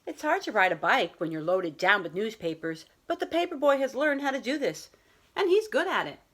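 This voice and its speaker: A woman's voice: on the high side, sing-song, brisk, fairly bright tone. A woman reading a picture book aloud as a school librarian, talking to young children in simple, explanatory phrasing.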